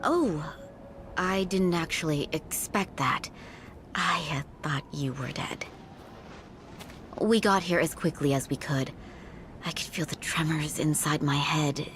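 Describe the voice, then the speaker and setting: An actress playing a grave, fatalistic middle-aged mother in a video game, with a solemn, resigned, deadpan manner. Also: sits low, slight background noise. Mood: ominous, dry. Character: grave, fatalistic mother, a seer who hears voices. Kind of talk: video game cutscene dialogue.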